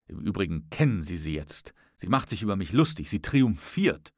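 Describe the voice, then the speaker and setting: A man reading the part of a proud, smooth-talking young gallant in a formal, insistent audiobook manner. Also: low-pitched, big pitch swings, moderate pace, darkish tone, dry recording, clean studio sound, exaggerated voice. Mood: scornful, proud.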